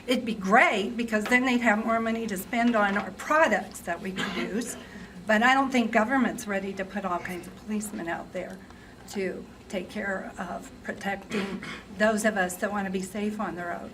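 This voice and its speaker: A woman's voice: medium pitch, normal speed. Earnest woman making a point. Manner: earnest, reasoning. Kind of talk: making a point at a public forum. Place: packed public library meeting room. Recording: noisy room.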